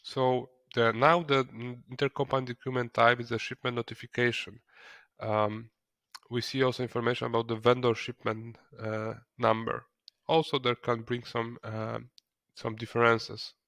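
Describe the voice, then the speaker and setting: Guy doing a software tutorial, kinda hesitant, repeats words, medium pitch, dry sound. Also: little pitch movement, moderate pace, dry recording. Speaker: software trainer. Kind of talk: screen-recorded software tutorial, explaining step by step.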